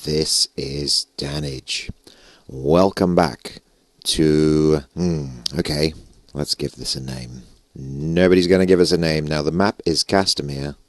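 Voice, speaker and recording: A British man with a hoarse, gravelly voice, rambling and joking at himself through casual game commentary on a close stage mic. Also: a bass voice, slow, a bit bright, no echo. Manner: rambling, self-mocking, chuckling. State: hoarse, gravelly voice after losing it. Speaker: gaming YouTuber. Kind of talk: casual let's-play commentary. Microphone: stage vocal mic close up.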